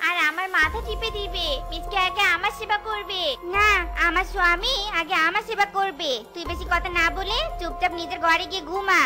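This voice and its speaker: A woman plays a quarrelsome young fox wife from a cartoon. Her voice is piercingly high, possessive and whining as she orders others about. Music is plainly audible behind her. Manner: whining, bossy. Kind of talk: exaggerated Bangla cartoon acting.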